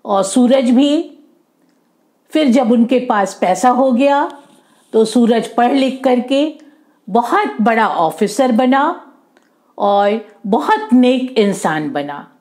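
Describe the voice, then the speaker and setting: A woman's voice: a little high, darkish tone. A woman telling a moral story to children in an informal, explanatory way, repeating and rephrasing as she goes.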